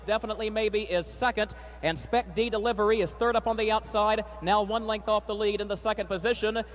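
A man announcing and calling a harness race at a state fair, his voice climbing and getting loud down the stretch, then interviewing a trainer. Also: sits high. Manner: rapid, rising to shouts at the finish. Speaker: track announcer. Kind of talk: harness race call and winner's circle interview.

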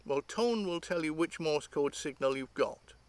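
A man, talking casually and haltingly to camera outdoors, rambling as he thinks aloud. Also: on the high side, lively intonation. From Great Britain. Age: 50s. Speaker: hobbyist vlogger. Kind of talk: casual unscripted talk to camera. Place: outdoors among trees.

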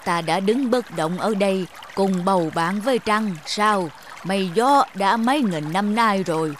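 A woman's voice: mid-range voice, a little slow, a little hiss or hum, close to an everyday voice. A woman voicing a huge, stern, proud old mountain in a cartoon fable, in a scolding, pompous manner.

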